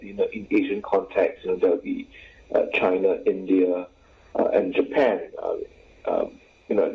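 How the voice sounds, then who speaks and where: A professor joining an interview over a remote link, talking haltingly and filling with "you know" constantly. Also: male voice, fairly low pitch, gravelly. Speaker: professor, guest expert. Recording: tinny phone-like line.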